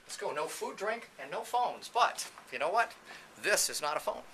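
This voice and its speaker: Bright, forceful, chatty man giving a tour. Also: on the high side, big pitch swings, normal speed. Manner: informal, chatty, off-the-cuff. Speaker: CEO and presenter of a training company, giving a tour. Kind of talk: casual walking tour narration. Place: indoors, walking through a video production facility. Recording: light background noise, room ambience.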